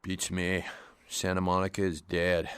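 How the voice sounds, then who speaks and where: An actor playing a nervous, spaced-out shop owner in a video game, rambling in a hesitant stoner drawl. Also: male voice, low voice, completely flat delivery, measured pace, a bit bright, clear, resonant tone, no echo. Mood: uneasy. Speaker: shopkeeper character in a video game.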